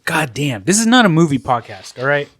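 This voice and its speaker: Relaxed man co-hosting a podcast. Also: fairly high pitch, fairly quick. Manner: loose, swears, trails off. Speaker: podcast co-host. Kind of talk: casual chat. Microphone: studio mic.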